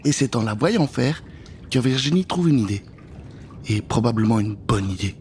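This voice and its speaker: Male storyteller, thirties, dramatic delivery for children, animated intonation, close mic, some background noise. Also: mid-range voice, normal speed. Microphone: close studio microphone with pop filter.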